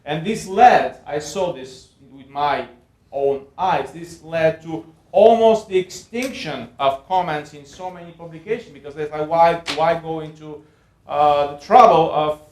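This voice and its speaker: A man with a Bulgarian accent speaks as a panelist at an academic event. His voice sits a little high for a man, and the room echoes around him. He talks off the cuff, haltingly, often repeating words as he goes. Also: a little mellow, a little hiss or hum. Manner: halting, with frequent repeats and filled pauses. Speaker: panel speaker at a university lecture. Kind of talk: extemporaneous academic lecture. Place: indoor lecture event room.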